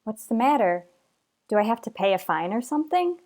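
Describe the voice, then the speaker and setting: A woman's voice: on the high side, big pitch swings, fairly quick, darkish tone. A woman acting a carefree, naive young stowaway in a retro radio drama. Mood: breezy, innocent.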